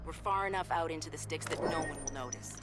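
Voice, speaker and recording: A woman's voice: low-pitched, quick pace, close to an everyday voice. An actress voicing a composed female intelligence operative who briefs an agent in a controlled, businesslike way in a video game. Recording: music behind the voice.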